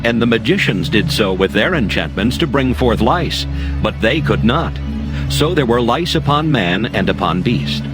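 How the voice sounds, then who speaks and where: A man narrating dramatized scripture in a measured, solemn storytelling manner. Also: mid-range voice, lively intonation, rough recording. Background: music.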